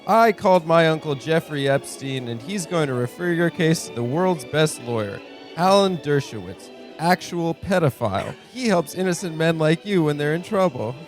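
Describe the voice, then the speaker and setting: Man playing a polite, dry, highish-voiced friend. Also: lively intonation, moderate pace, natural voice. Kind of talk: comic radio play. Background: music.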